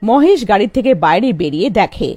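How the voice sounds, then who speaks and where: A woman's voice: mid-range voice, very animated, fairly quick, mellow, breathy edge, dry recording, soft background music. A woman giving a scripted narration of a horror fairy tale.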